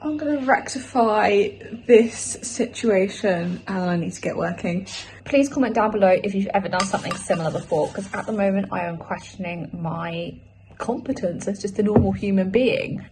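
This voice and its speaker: A woman's voice: medium pitch, moderate pace, background noise. A British woman in her twenties chatting casually to camera in a self-deprecating vlog style. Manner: chatty, self-deprecating, repeats phrases for emphasis. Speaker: vlogger.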